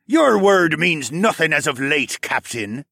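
A man's voice: mid-range voice, huge pitch swings, slow, fairly bright tone, dry recording, clean studio sound, voice pushed up, full character voice. A man voicing a hostile male lizard-man miner who hisses and accuses. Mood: bitter. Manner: hissing, accusing, spits words.

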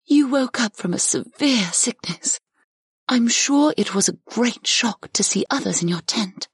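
Woman playing a soothing goddess healer, high voice. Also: moderate pace, breathy edge, no echo, studio quality. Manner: soothing, polite. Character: gentle, reassuring goddess healer with ancient magic. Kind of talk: audiobook character dialogue.

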